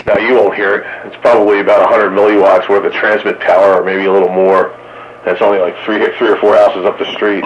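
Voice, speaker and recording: American male radio hobbyist, lowish voice, conversational and halting, dull staticky two-way radio sound. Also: flat delivery, slight background noise. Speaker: radio hobbyist in a group chat. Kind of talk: casual two-way radio chat. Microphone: two-way radio, recorded from a receiver stream.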